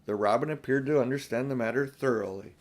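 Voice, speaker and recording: A man's voice: mid-range voice, quick pace. An older man reading a children's storybook aloud as narrator. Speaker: audiobook reader.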